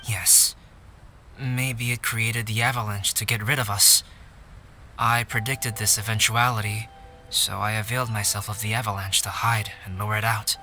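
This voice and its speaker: An actor playing a calm, analytical young man in a video game cutscene, speaking in a measured, articulate and formal way. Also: on the low side, soft background music.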